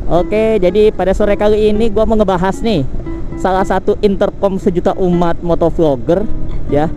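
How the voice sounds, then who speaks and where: High-pitched Indonesian man, casual vlogger. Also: poor audio. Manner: slangy and conversational, with frequent tag words. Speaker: motovlogger and channel host. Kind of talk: casual product comparison vlog.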